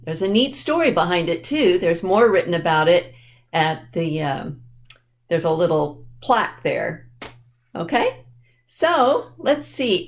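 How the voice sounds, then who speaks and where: A woman presenting a children's library storytime, explaining things simply and addressing young listeners directly as she reads aloud. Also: mid-range voice. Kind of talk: reading a picture book aloud to children.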